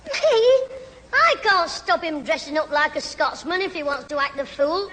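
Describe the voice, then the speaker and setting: A man's voice: very high-pitched, animated, sharp tone, in a large hall, full character voice. A man playing a cheeky, scheming schoolboy in a 1970s British radio sitcom. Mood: defensive. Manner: cheeky, quick to fib and bluster. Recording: old radio recording, faint hiss, studio audience.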